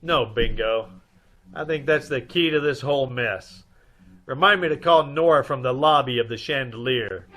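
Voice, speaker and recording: Man playing a bright-voiced, suave private detective. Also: medium pitch, moderate pace, slight background noise, lightly acted. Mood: businesslike. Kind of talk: old-time radio comedy-mystery acting.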